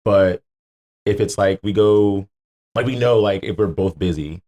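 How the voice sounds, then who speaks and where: Low-voiced American man chatting casually. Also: unhurried, mellow. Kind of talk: casual chat.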